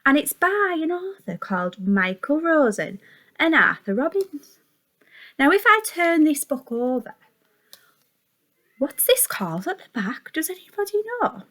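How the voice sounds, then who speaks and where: A woman's voice: sits high, big pitch swings, measured pace, fairly bright tone. A woman teacher reading a picture book to young children in a sing-song, exaggerated storytelling way, with questions to the listeners. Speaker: nursery and reception teacher.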